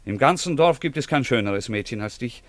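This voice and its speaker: A man's voice: mid-range voice, expressive, brisk, a bit bright, no echo, natural voice. An actor playing an ardent, playful young nobleman in a theatrical radio play. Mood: tender, teasing.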